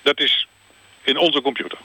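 Earnest bureaucrat man on a radio comedy, bright voice, explains procedures matter-of-factly. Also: mid-range voice, normal speed. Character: earnest male official of a gangster rap certification board. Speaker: studio interview guest. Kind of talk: mock-serious radio interview.

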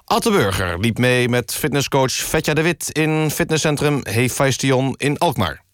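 A man playing an earnest radio news presenter, reading scripted satirical items in a formal, deadpan way. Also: medium pitch, unhurried, dry recording, studio quality, natural voice.

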